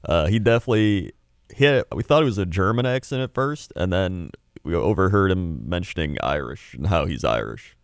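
A man with a low voice chats as a podcast co-host. He is easygoing and droll, and the sound is dry with no room to it. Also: expressive, brisk, gravelly, no room sound. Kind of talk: casual podcast chat.

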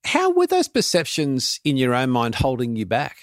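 A man's voice: medium pitch, huge pitch swings, moderate pace, no room sound, studio quality. A man hosting a podcast, asking curious, thoughtful questions in a conversational way. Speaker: podcast host. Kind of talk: conversational interview.

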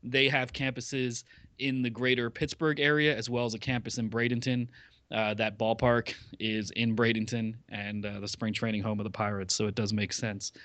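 A man's voice: medium pitch, level tone, moderate pace, a bit bright, no room sound. A man chatting on a podcast, rambling with many fillers and self-corrections. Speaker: podcast regular covering ballpark business news. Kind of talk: casual podcast chat.

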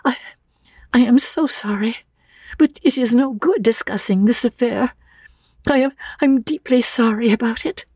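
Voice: medium pitch, a little slow, darkish tone, breathy edge, no echo, pitched above the performer's own voice. A performer voicing an anxious, polite young woman who stammers and gasps in distress, as in a dramatic audiobook. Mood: uneasy, apologetic. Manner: stammering, gasping. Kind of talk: dramatic audiobook character reading.

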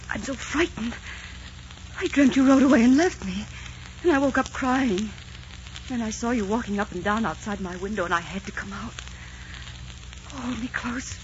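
A woman's voice: a little high, moderate pace, pauses often, lightly acted. Female actor as lovesick young queen, fervent, desperate, vintage radio romance, muffled noisy sound. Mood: frightened, tender. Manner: melodramatic, imploring, fervent. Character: passionate, desperate young Queen of Scots in love. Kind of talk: 1940s radio romance acting. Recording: dull, noisy 1940s radio recording.

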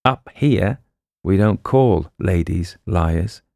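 A man voicing a big, blunt, gruff police detective in an audiobook mystery. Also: low-pitched, animated, slow pace, a little mellow, no room sound, clean studio sound, heavily acted. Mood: blunt, stern.

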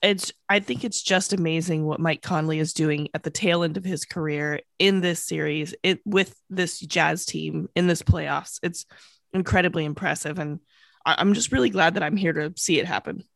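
A woman's voice: low voice, steady tone, normal speed, vocal fry, no room sound. A woman hosting a sports podcast, chatting casually while she is getting a cold and losing her voice. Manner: chatty, laughs easily. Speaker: podcast host, sports beat reporter.

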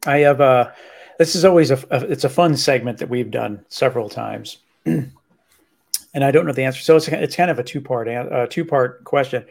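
Man, mid pitch, podcast co-host, casual, loose filler words. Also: normal speed, vocal fry, a little mellow. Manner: casual, uses "like" and "you know". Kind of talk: casual interview questions.

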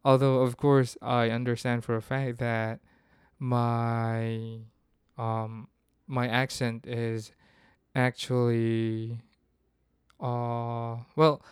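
A man's voice: mid-range voice, a little mellow, smooth, no room sound, clean studio sound. A man from the Philippines, hosting a casual solo podcast and thinking aloud with frequent restarts. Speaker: podcast host.

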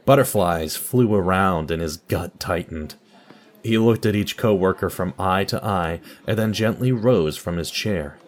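A man's voice: low-pitched, a little mellow. A man delivering scripted narration and announcements for an audio drama.

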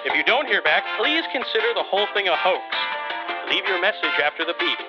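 An actor playing the theatrical, teasing recorded voice of a secretive covert project on an answering machine. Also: male voice, high-pitched, sing-song, brisk. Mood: gleefully theatrical. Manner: dramatic, teasing, abrupt shifts from menace to cheer. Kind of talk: recorded phone greeting in audio drama. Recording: dull lo-fi answering machine, music behind.

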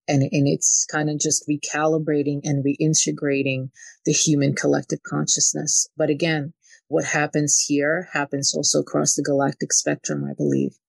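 Creaky-voiced woman from Ukraine, close and dry. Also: low-pitched, little pitch movement, moderate pace, no echo. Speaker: spiritual channeler, interview guest. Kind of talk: spiritual conversation.

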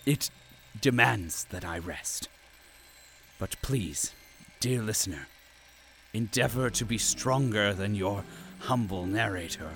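An actor playing a pompous, self-pitying company official in an audio drama, speaking in florid, grandiloquent phrases with melodramatic flair. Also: male voice, on the low side, expressive, slow pace, pauses often, a bit bright, slight background noise, heavily acted. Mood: weary, mournful pomp.

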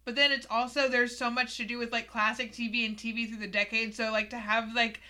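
A woman hosts a podcast in an enthusiastic, casual chat and swears freely. Her tone is bright and forceful, and her voice sits somewhat high. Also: flat delivery, fairly quick. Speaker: podcast host.

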